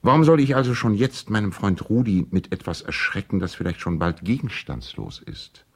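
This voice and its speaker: A man's voice: fairly low pitch, animated, moderate pace, vocal fry, husky, exaggerated voice. An actor playing a courtly, concerned lawyer and family friend, reading his letter emphatically in a radio play. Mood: soothing, diplomatic. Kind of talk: 1970s German radio play, letter monologue.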